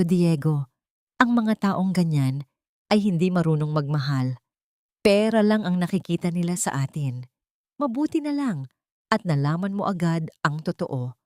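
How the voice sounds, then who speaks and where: A woman's voice: on the low side, warm tone, no room sound, clean studio sound, lightly acted. A woman voicing a haughty, scheming older mother of a wealthy family in an audio drama, smug and dismissive.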